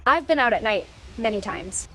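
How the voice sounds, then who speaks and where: A woman's voice: medium pitch, lively intonation, moderate pace, a bit bright, low-quality recording. A woman playing a bold, pushy young girl who teases and coaxes, in an audio drama. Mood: teasing, bickering.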